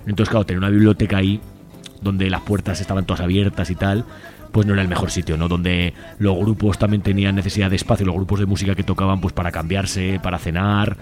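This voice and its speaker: Guy talking really fast, soft mellow tone, casual radio chat, super talkative. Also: low-pitched, level tone, faint music behind. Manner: talkative, colloquial, seeks agreement with question tags. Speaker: community library volunteer, interview guest.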